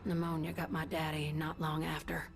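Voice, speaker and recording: A woman voicing a plucky, tough, warm-hearted pilot in a video game, with a folksy, sincere manner. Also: low voice, monotone, normal speed. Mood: wistful, resolute. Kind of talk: game voice acting. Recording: clear music bed behind the voice.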